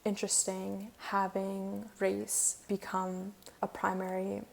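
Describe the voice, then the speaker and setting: Young Canadian woman, plenty of vocal fry, flat delivery, personal testimony with light hiss. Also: mid-range voice, slow pace. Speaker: community project participant.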